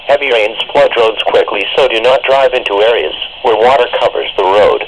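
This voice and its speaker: A man's automated announcer voice comes through a clearly noisy weather radio. He has a middling pitch and reads scripted emergency bulletins in a formal, measured, synthetic manner.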